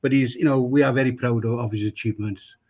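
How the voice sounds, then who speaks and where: A man's voice: mid-range voice, steady tone, fast, a little mellow. A man with a Welsh accent, a rugby club chairman, talking casually on a podcast, rambling and repeating himself with many fillers.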